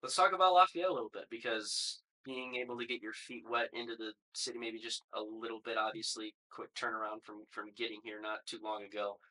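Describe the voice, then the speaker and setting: A man's voice: fairly low pitch, a little fast, hardly any pauses, bright, forceful tone, poor audio. A young man hosting a casual sports podcast interview, seated in a small room. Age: young adult.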